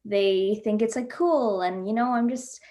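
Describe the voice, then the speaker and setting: A woman's voice: mid-range voice, a little slow, slight background noise. A young woman from Canada moderating a panel, affirming speakers with lots of ums before moving the event along. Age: young adult. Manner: warm affirming, filler-heavy.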